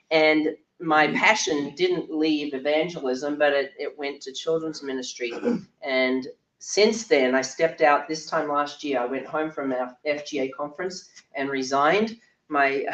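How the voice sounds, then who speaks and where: An older woman from Australia giving a chatty conference workshop talk, her voice croaky and low from a cold, often clearing her throat. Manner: chatty, self-deprecating, frequent ums and throat clearing. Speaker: workshop speaker. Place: echoey conference room. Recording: roomy, distant, dull recording.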